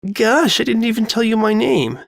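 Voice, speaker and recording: Storybook role: ditzy, gushing teenage girl, high and airy, performed by a man. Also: very animated, normal speed, gravelly, full character voice. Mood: bright, gushing. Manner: bubbly, scatterbrained, hesitant.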